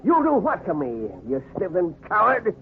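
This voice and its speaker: A man's voice: high-pitched, wildly swooping pitch, moderate pace, full character voice. A man acting a vicious, bullying villain who taunts and threatens, in an old-time radio drama. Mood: sneering, threatening. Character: vicious, bullying male villain, sneering at his henchman. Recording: tinny band-limited vintage radio, hissy.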